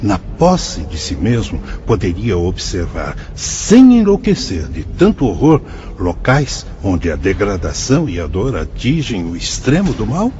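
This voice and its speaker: A solemn, wise spirit guide. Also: male voice, fairly low pitch, huge pitch swings, measured pace, warm tone, dull audio, a little hiss or hum. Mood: explaining. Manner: solemn, dramatic, sweeping phrasing. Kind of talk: radio drama acting.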